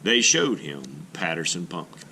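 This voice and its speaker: A man reads a children's picture book aloud, slow and expressive, swooping widely up and down in pitch. His voice is bright and forward. A faint hum sits behind him. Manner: expressive, slow, lively storytelling. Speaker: art teacher reading aloud.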